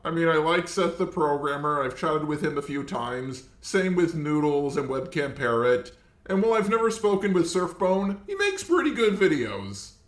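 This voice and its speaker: A man's voice: fairly high pitch, big pitch swings, normal speed, reverberant. A man hosting an informal scripted commentary video, talking in a blunt, casual way with some swearing. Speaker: host, commentator.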